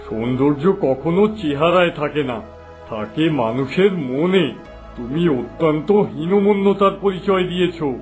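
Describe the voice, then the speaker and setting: A middle-aged fairy-tale king, voiced by a man with a somewhat high male voice. He is stern and solemn, admonishing like a disappointed father. Soft music accompanies the cartoon dialogue. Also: moderate pace, close to an everyday voice.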